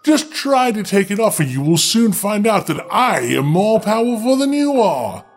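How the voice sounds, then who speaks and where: A man's voice: high voice, sing-song, moderate pace, faint music behind, exaggerated voice. A man voicing a small, ugly, jealous dwarf villain from a fairy tale, declaiming boastful challenges. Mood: defiant, boastful.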